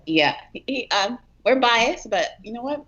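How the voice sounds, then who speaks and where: A woman's voice: a little high, expressive, unhurried, a bit bright, muffled recording. A woman from Texas with a Texan accent, a podcast guest who jokes and laughs in casual chat. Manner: playful, laughing.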